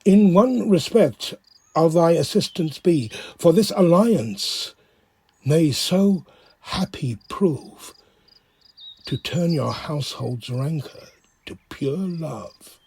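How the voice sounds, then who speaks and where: A man playing a wise, gently chiding friar in a Shakespeare audio drama, with a husky, gravelly voice and a measured, admonishing manner. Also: a little high, lively intonation, no echo, heavily acted. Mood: indulgent chiding.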